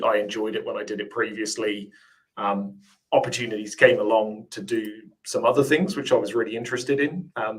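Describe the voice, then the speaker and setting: A man's voice: lowish voice, poor audio. A man with a mixed English and Australian accent, a podcast guest talking reflectively, with many ums and restarts. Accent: English-Australian mix. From England. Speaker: podcast guest, finance officer. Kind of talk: casual interview chat.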